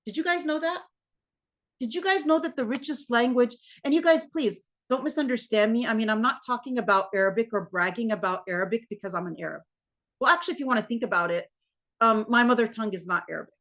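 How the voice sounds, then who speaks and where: An American woman teaching a class in a lively, animated way and often calling her students "you guys". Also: a little high, fast, muffled recording. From United States. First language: English. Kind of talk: classroom lecture.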